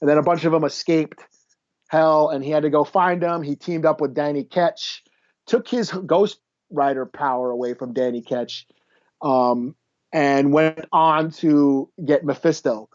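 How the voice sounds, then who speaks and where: A man co-hosting a podcast, chatting through a recap in a halting, offhand, mildly profane way. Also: on the high side. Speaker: podcast co-host reviewing comic books. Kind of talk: casual chat recap. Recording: dull, lo-fi audio.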